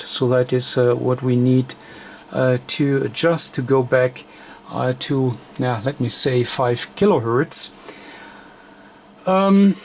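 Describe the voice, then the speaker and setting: Very slow, halting man explaining electronics. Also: mid-range voice, a little mellow. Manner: halting, thinking aloud, heavy hesitation. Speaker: electronics repair host. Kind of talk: unscripted technical demonstration.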